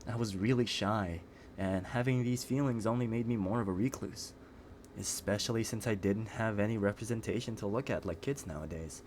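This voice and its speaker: A man acting a shy, guarded young guy with a fairly low voice. He speaks earnestly and with restraint, as in an intimate audio roleplay. There is noise behind the voice. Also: a little fast, darkish tone, close to an everyday voice. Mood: wistful, reassuring. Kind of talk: scripted ASMR roleplay acting. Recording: clearly noisy background.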